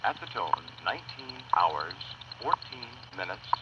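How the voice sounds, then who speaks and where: A male announcer reads a formal time signal in a measured way. His voice is lowish and lively in pitch, coming dull and lo-fi through a shortwave radio. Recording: dull shortwave radio reception, faint noise.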